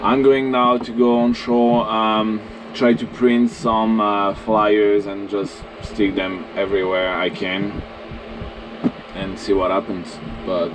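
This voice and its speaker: Slow-talking French man vlogging. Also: lowish voice, noisy background. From France. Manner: relaxed, thinking aloud with fillers. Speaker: sailing vlogger. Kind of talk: casual vlog to camera.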